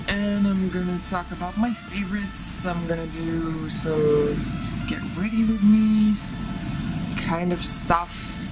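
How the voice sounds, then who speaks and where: A woman's voice: fairly low pitch, low-quality recording. A woman from Russia with a Russian accent and Russian as her first language, chatting casually to camera as a vlogger in a rambling, giggly manner. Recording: music behind the voice. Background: background music.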